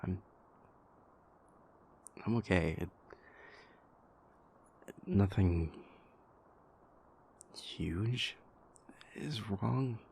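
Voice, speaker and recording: A man playing a stressed young vampire prince confiding in his lover in an intimate, halting audio roleplay monologue, with a husky, gravelly voice. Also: fairly low pitch, a little mellow, a little hiss or hum, full character voice. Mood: hesitant, worried. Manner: halting, hesitant, exasperated, conversational.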